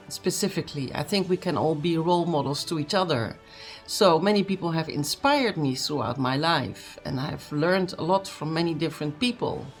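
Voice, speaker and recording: Earnest motivational speaker over faint music. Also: mid-range voice. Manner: earnest, with many fillers and restarts. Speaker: speaker at a book launch. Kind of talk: motivational message.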